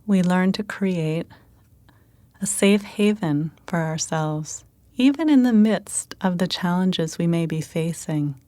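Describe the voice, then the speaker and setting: Female meditation guide: calm, gentle, very unhurried, slightly low voice, dry intimate recording. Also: no echo.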